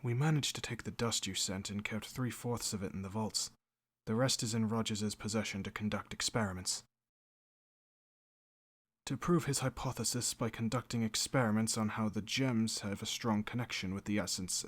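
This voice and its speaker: A man plays a composed steward in an audio drama. His voice sits a little low, and he talks like an official, measured and formal with a dry, wry edge. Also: fairly even intonation, natural voice. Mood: matter-of-fact. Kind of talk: audio drama narration and acting.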